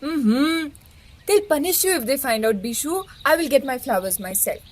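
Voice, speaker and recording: A woman's voice: high-pitched, animated, moderate pace. A woman playing a compassionate, bold young idealist in an audio play, with heartfelt and dramatic delivery. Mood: gentle concern.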